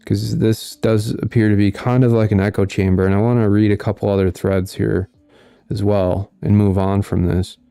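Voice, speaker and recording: A man hosting a casual commentary stream, reading out viewer comments in a monotone way. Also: on the low side, moderate pace, creaky, clear, resonant tone, dry recording, faint music behind. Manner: monotone delivery. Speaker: host.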